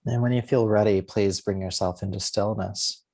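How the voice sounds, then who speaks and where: Male meditation guide: low, very soft-edged relaxed tone, gentle and soothing, short unhurried phrases. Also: rough recording. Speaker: yoga nidra teacher.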